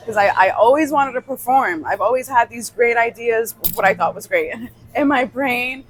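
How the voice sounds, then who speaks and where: A young woman from New York whose first language is Spanish, telling her story as a podcast guest in a relaxed way and laughing easily. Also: a little high, normal speed, slight background noise, low-quality recording. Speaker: guest musician. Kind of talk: casual interview talk.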